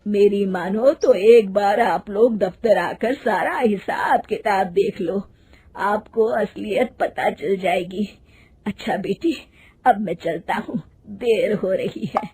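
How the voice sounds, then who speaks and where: A worried, kindly old man who keeps the company books, played by a woman storyteller. He talks slowly and earnestly, as in a storybook tale. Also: mid-range voice, dark tone, husky. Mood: troubled, advising. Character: worried elderly male company accountant, kindly and familiar.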